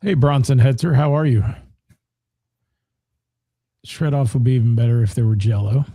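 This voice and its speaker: Radio co-host, hoarse, very dark husky tone, dry studio sound. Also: male voice, mid-range voice, moderate pace, no room sound. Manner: joking, rambling, with restarts. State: losing his voice. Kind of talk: casual on-air chat, reading listener comments.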